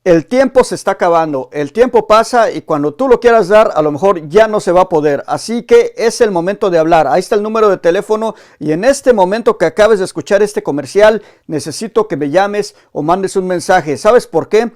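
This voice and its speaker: A man's voice: high-pitched, lively intonation, a little fast, few pauses, a little mellow. A man hosting a religious testimony program, pressing viewers directly and interviewing a caller. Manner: urgent, exhorting, direct address to viewers. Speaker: host of a testimony channel.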